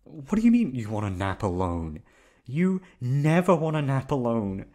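A man's voice: medium pitch, sing-song, moderate pace, mellow. A man acting as a teasing, affectionate boyfriend in an intimate ASMR roleplay, coaxing and pleading playfully. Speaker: voice actor performing an audio roleplay.

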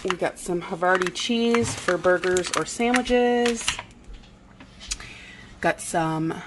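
Slow, chatty woman doing a haul. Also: on the low side, heavy background noise. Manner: relaxed, chatty, many "um"s. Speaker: vlogger. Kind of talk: casual grocery haul narration. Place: home kitchen, indoors.

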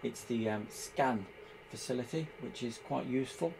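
A man giving an informal hands-on tutorial, explaining step by step in a halting, hesitant way. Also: medium pitch, vocal fry, noisy background. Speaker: presenter demonstrating radio equipment.

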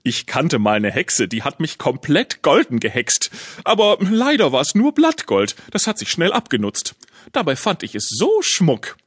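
A man's voice: a little high, huge pitch swings, measured pace, fairly bright tone, husky, no room sound, studio quality, heavily acted. A man playing a vain, bossy, greedy robot knight in a children's radio play, pompous and demanding. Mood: boastful.

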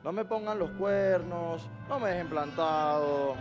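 A male co-host jokes in playful mock self-pity, his voice pitched fairly high. Music plays clearly behind him in the studio. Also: measured pace. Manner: joking, mock self-pitying. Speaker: TV show co-host.

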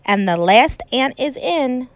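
A woman teacher reading a children's storybook aloud in an expressive, emphatic way with dramatic pauses. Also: high-pitched, no room sound.

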